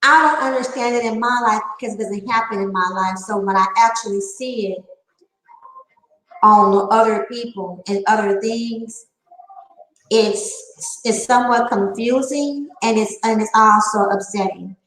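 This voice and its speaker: A woman's voice: a little high, a little slow, fairly bright tone, reverberant, poor audio. A woman nearing fifty giving a candid opinion monologue, emphatic and repeating her phrases for effect. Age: late 40s. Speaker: online panel talker.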